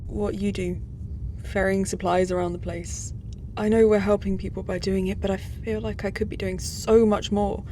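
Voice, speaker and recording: A woman's voice: mid-range voice, flat delivery, moderate pace, mellow, a little hiss or hum, lightly acted. A woman playing an earnest, displaced human space traveller in a science-fiction audio drama. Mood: troubled, frustrated. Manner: earnest, confiding.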